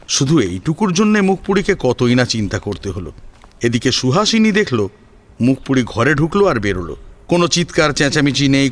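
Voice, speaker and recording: Animated man narrating a dramatic story. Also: medium pitch. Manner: expressive, rhetorical. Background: music.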